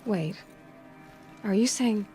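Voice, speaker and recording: A woman's voice: mid-range voice, slow pace, dark tone, soft background music, natural voice. A woman playing a determined, principled young hunter in a video game cutscene, direct and firm. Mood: surprised. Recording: clear background noise, game ambience.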